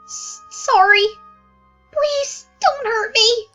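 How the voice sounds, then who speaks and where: A woman voicing a timid, nervous young female pony, halting and apologetic, in a cartoon-style audio drama. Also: extremely high pitch, fairly bright tone, full character voice. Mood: frightened. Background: music.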